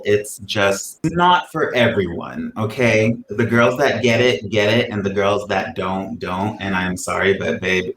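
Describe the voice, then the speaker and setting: A man's voice: fairly low pitch, a bit bright. A man co-hosting a casual podcast, talking in a playfully sarcastic, mock-bitter way. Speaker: podcast co-host. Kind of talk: casual chat. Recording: echoey room, faint ambient noise.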